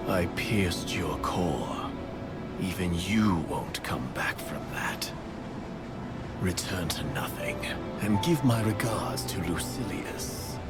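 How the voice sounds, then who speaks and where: A cold, imperious male game villain with a very deep voice. He pronounces slowly and pompously, like a would-be god giving decrees, over loud music. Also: expressive. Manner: solemn, commanding, pompous. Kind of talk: grandiose video game villain acting.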